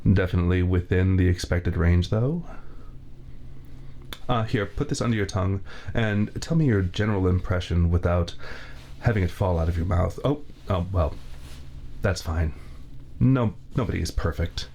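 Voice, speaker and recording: A man's voice: deep voice, mellow, a little hiss or hum. A man voicing a spiteful, fussy, laid-off veteran torturer in an ASMR roleplay, with formal, theatrical diction, recorded on a binaural mic. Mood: fussy, clinical. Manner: formal, theatrical villain diction, slow and deliberate. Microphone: 3Dio binaural mic.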